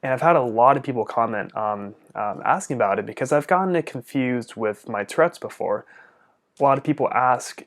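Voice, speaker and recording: A man's voice: medium pitch, a little fast. A young man, a speech-language pathologist, answering viewer questions in a casual vlog, earnest and open, stuttering often with repeated sounds and blocks.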